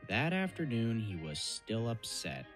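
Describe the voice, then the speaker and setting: A man's voice: mid-range voice, wildly swooping pitch, unhurried, soft background music. A man reading scripted storybook narration for a cartoon dub.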